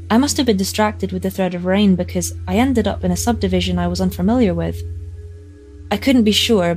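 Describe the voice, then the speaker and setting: A woman's voice: on the low side, darkish tone, natural voice, rough recording. A woman narrating a horror story in the first person as an uneasy young mother. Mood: uneasy, reflective. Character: uneasy young mother recounting an eerie encounter. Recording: narration over background music.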